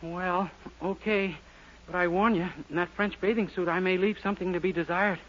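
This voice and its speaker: An actor playing a naive, guileless young man who is slow on the uptake, in a 1940s radio sitcom. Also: high voice, steady tone, lightly acted. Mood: naive, eager. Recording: dull, noisy 1940s radio recording.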